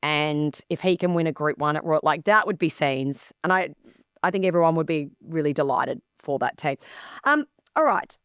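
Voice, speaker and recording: A woman's voice: low-pitched, lively intonation, moderate pace, fairly bright tone, clear, resonant tone, no echo, studio quality. An Australian woman co-hosting a horse racing show, chatting and commenting with emphatic enthusiasm. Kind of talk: casual racing chat and commentary.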